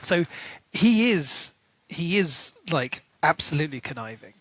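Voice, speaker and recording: A man's voice: on the high side, dry recording. A man co-hosting a podcast, talking through a book in casual chat and briefly acting out characters' lines. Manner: animated, acts out characters' lines in quick asides. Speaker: podcast co-host.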